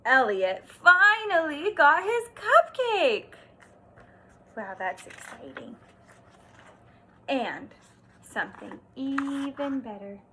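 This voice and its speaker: A woman kindergarten teacher reads aloud to young children in a bright voice. She goes very slowly, leaning on key words and stopping to explain them or ask a simple question. Her pitch sits a little high, and her delivery is expressive. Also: a little hiss or hum.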